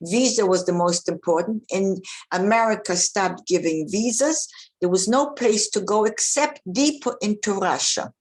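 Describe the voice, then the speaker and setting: A woman's voice: mid-range voice, normal speed. A woman in her eighties from Poland, speaking to students about her childhood in a plain, direct way with frequent restarts. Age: older. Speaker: Holocaust survivor speaker. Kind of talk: personal testimony talk.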